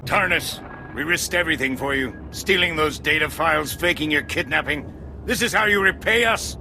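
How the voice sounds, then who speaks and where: A man's voice: fairly high pitch, normal speed, sharp tone, slight background noise. An actor voicing an indignant, combative male gang boss in a sci-fi video game. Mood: outraged. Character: aggrieved, hot-tempered male gang boss villain.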